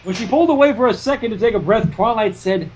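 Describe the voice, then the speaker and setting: A reader narrating comic fan fiction with a husky voice, animated and stumbling, with nervous asides. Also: medium pitch, quick pace, a little hiss or hum.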